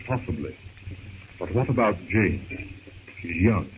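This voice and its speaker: An actor playing a practical, level-headed gentleman adventurer with a measured, matter-of-fact delivery in old radio drama style. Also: low voice, expressive. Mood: sober, reassuring. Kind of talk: 1930s radio drama acting. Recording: tinny, noisy old radio recording.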